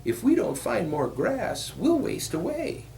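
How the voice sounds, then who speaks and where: A man's voice: high voice, sing-song, unhurried, gravelly, a little mellow, distant mic, a little hiss or hum, voice pushed up, full character voice. A man voicing a timid but crafty young billy goat in a storybook, piping and pleading. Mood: worried. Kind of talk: storybook character voice.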